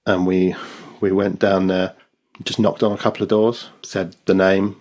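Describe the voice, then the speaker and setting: A man as a podcast guest, telling stories in a relaxed, hesitant way with many ums. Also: low voice, unhurried. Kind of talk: casual chat.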